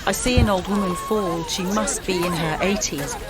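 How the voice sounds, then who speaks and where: An actress playing a shaken protester, giving urgent eyewitness narration in an audio drama. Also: female voice, lowish voice, normal speed, natural voice. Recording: loud music and crowd behind.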